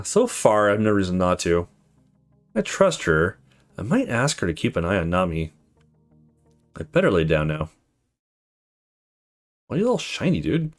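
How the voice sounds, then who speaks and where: A man with a low voice hosts a let's play, moving between reading story text aloud and informal commentary. His delivery is lively, with wide swings in pitch, and music plays behind him. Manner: informal, sweary, reacts off the cuff. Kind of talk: casual gaming commentary mixed with reading dialogue aloud.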